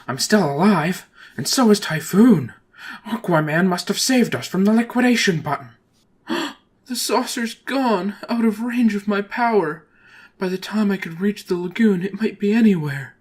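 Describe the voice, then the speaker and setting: Man doing an exclamatory comic-book superhero, pitched up. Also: expressive, moderate pace, breathy edge. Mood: alarmed. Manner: exclamatory, melodramatic. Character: exasperated male superhero, earnest and dramatic. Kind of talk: motion comic hero acting.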